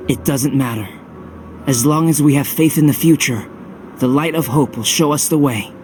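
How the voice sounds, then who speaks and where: A man's voice: a little high, moderate pace, background noise, natural voice. A man voicing an earnest, idealistic believer in a video game, full of hope. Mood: fervent. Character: hopeful, earnest male follower, idealistic believer. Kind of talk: English video game voice acting. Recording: game music under the voice.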